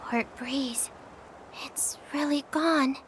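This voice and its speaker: A woman's voice: high-pitched, fairly even intonation, extremely slow, background noise. A woman voicing a small, cheeky childlike companion in a video game dub, talking casually and bluntly. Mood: subdued. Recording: voice over background music.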